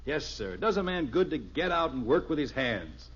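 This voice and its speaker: A man's voice: on the high side, very animated, moderate pace, slightly rough. An actor playing a genial, evasive middle-aged suburban father in a 1950s radio sitcom, folksy and hesitant with his excuses. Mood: hearty. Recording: muffled vintage radio recording.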